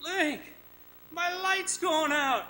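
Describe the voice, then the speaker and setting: A woman playing a frightened, tearful young male miner in a radio drama, plaintive and drawn-out. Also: high voice, bright, forceful tone, heavily acted, poor audio.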